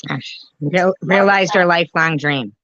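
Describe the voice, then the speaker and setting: A woman's voice: fairly low pitch, measured pace, a bit bright, no echo. Sarcastic woman commentator, dry asides, close dry mic, muffled lo-fi sound. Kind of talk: reaction commentary.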